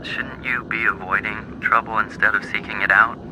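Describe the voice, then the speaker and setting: A man's voice: lowish voice, fairly even intonation, moderate pace, background noise, lightly acted. An actor playing a wary, brooding male fugitive in a video game, terse, subdued and questioning.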